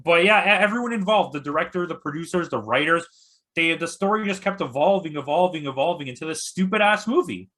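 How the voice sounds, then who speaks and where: Male guest film critic: slightly high, bright voice, casual, blunt, disparaging and sweary. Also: lively intonation, fast talker. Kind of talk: casual opinionated film discussion.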